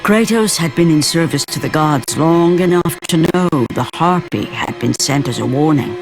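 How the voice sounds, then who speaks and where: A man's voice: a little high, expressive, normal speed, creaky. A man delivering epic scripted narration for a video game in a solemn, dramatic, portentous manner. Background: music.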